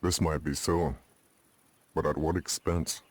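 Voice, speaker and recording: An actor playing a dignified older Lakota uncle who leads his band, speaking gravely and deliberately in a video game cutscene. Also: a bass voice, steady tone, full character voice. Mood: solemn.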